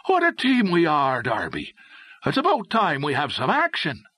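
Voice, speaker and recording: A man's voice: on the high side, big pitch swings, moderate pace, a bit bright, slightly rough, no room sound, studio quality, voice pushed up, exaggerated voice. A man voicing an eager, reckless young male adventurer in an audiobook, enthusiastic and exclamatory. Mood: excited. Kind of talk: audiobook character voice.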